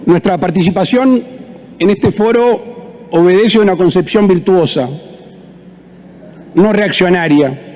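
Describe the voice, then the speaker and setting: Man, high voice, slow formal speech, distant, long reverb. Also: darkish tone. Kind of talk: formal speech in a played clip.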